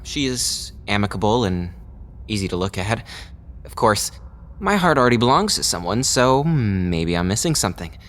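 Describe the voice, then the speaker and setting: Lively, low-voiced teasing lieutenant. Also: male voice, normal speed. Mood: playful. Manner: wry, casual, needling. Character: teasing, easygoing male lieutenant and loyal friend. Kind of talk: audio drama acting.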